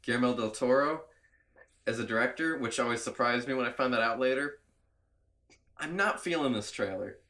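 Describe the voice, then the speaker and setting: Male podcast host, congested from a cold, slightly nasal, loose and chatty, mid-range pitch. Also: moderate pace, long pauses, pushed and bright. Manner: chatty, laughs often, rambling. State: has a cold. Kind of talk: casual chat.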